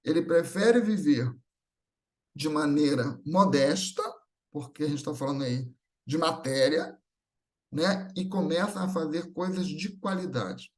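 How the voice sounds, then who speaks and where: Brazilian male lay preacher, high voice, informal and explanatory, peppering the talk with rhetorical questions. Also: a little slow. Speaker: speaker at a Spiritist gathering. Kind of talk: religious talk, conversational and explanatory.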